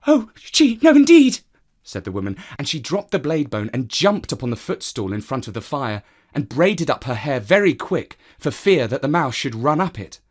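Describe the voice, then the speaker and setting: A storyteller reading a children's tale in an expressive, theatrical way, speaking straight to the listener. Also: mid-range voice, moderate pace, hardly any pauses.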